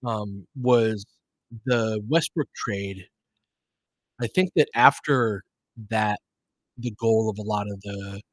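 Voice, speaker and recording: A man hosting a live basketball stream, chatting informally with viewers and commentating in a halting way, as if thinking aloud. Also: lowish voice, a bit bright, no echo. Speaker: livestream host giving basketball play-by-play. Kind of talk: casual chat with live viewers, game commentary.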